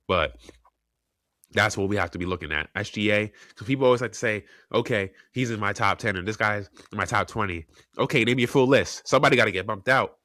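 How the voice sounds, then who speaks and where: A man's voice: low voice, bright, forceful tone, no room sound, clean studio sound. A man hosting a solo sports podcast, congested and under the weather, giving opinionated takes and thinking aloud with frequent restarts. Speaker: podcast host.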